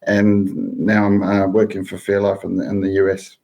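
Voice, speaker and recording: A man's voice: sits low, completely flat delivery, moderate pace, a little mellow, poor audio. A middle-aged man with a New Zealand accent, a guest talking through his career in a matter-of-fact way. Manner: matter-of-fact, lists things steadily. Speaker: guest, food safety professional. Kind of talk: casual interview.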